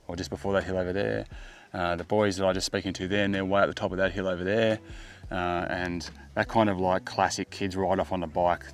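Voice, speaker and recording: A middle-aged Australian man giving a casual, conversational product review in a laid-back way. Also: low-pitched. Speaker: tech vlogger, product reviewer.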